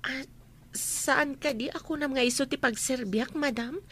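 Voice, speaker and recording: A woman's voice: high-pitched, measured pace, a bit bright, no room sound. A young woman playing a meek, polite country housemaid in an Ilocano-accented radio drama. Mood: meek, deferential.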